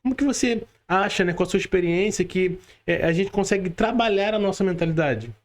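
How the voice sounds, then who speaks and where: A man with a Brazilian accent and a high-pitched voice. He interviews conversationally as a podcast host. His delivery is halting, with repeated words and frequent tag questions. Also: lively intonation. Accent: Brazilian Portuguese. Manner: halting, repetitive, frequent "né" tags.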